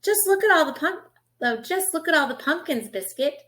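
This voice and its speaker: A woman's voice: extremely high pitch, lively intonation, normal speed, a bit bright, voice pushed up. A woman voicing a cheerful little girl who talks to her puppy in a coaxing, sing-song way.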